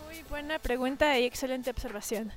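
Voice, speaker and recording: Woman, young adult, high-pitched, rambling interview answers, clear music behind. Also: brisk. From Mexico and the UK. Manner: rambling, many restarts and fillers. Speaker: illustrator guest.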